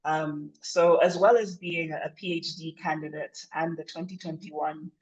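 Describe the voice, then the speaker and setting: A man leading a tutorial as facilitator, speaking informally and reflectively, with frequent halting pauses mid-thought. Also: fairly high pitch, fairly even intonation, muffled recording. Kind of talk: informal spoken presentation to a group.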